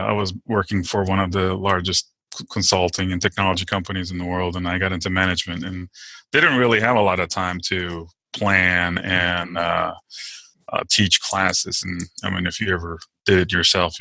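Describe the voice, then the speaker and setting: A man's voice: low voice, little pitch movement, fairly quick, creaky, pushed and bright, no room sound. A middle-aged man from Turkey, answering interview questions in a casual, hesitant way with many fillers and restarts. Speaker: podcast guest.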